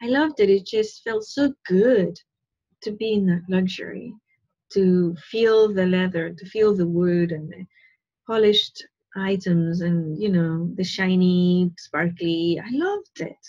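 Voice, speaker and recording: A middle-aged woman with a slightly husky voice, speaking through an earphone mic on a dull, lo-fi call line. She talks in an earnest, meandering way from her living room, often checking in with her listeners. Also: on the low side, slow, warm tone. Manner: earnest, rambling, with frequent "right?" and "you know". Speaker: spiritual teacher. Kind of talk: casual talk on a video call. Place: living room at home, indoors. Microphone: wired earphone mic on a video call.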